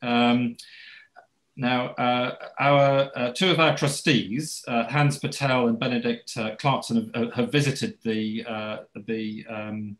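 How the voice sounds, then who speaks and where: A man's voice: mid-range voice, steady tone, slow pace. A man chairing a meeting over a video call, hesitant, with many uhs and repeated words. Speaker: chairman of a society. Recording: echoey room on a video call.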